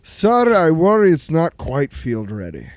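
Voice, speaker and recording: An actor playing an eager, deferential research chief in an audio drama, explaining things precisely and proudly. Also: male voice, fairly high pitch, huge pitch swings, a little slow, no echo. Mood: explanatory, cautious.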